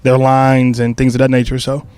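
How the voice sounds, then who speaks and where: Young male playwright, casual and rambling, filler-heavy, mid-pitched everyday voice. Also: normal speed, clear, resonant tone, dry recording, soft background music. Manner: relaxed, loose, full of fillers and restarts. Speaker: playwright and creator of a stage play. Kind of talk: casual interview answers.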